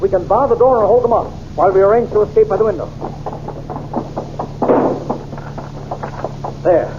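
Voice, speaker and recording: An actor playing a gallant young space hero in a 1930s radio serial, earnest and heroic. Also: male voice, sits high, racing, slight background noise, natural voice. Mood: urgent. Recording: dull 1930s radio transcription.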